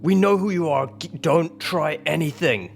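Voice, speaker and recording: A man voicing an aggressive, hot-headed investigator who shouts sweary threats in a tabletop game. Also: a little high, moderate pace, creaky, soft background music.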